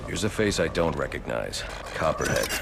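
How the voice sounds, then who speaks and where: An actor playing a grim masked vigilante detective in a video game, gravelly, terse and deadpan. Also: male voice, extremely low pitch, normal speed, heavily acted. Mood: briefing. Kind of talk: video game cinematic acting. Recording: loud music behind.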